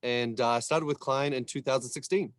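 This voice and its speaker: A man's voice: medium pitch, steady tone, a little fast, dry recording. A man with a New Zealand accent and a mid-range voice speaks casually in a dry, close recording. He sounds like a winemaker talking informally to guests at an online event. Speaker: head winemaker. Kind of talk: casual self-introduction.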